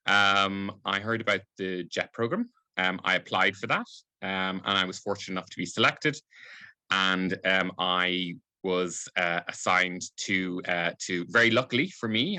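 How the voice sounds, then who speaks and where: Brief: Irish man, late 40s, low bright voice, halting presenter, dry sound. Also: dry recording. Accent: light Irish, not strongly regional. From Ireland (Cork). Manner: hesitant, many ums and restarts. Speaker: embassy staff speaker. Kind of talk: webinar presentation.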